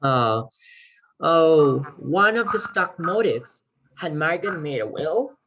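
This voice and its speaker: A woman performing in a readers theater as a crime writer, earnest and persuasive, speaking haltingly while pitching a scheme to save a friend. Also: big pitch swings, a bit bright, exaggerated voice, rough recording. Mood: pressing.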